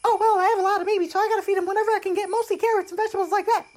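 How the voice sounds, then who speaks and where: A man's voice: very high voice, steady tone, rapid-fire, darkish tone, voice pushed up. A man voicing a frazzled mother rabbit in a story, chattering in a harried way. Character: frazzled mother rabbit with too many babies.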